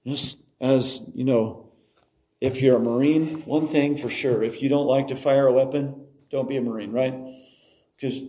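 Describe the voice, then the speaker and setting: A man's voice: medium pitch, fairly even intonation, measured pace, mellow, rough recording. A middle-aged man preaching an expository sermon, emphatically repeating key phrases as he goes.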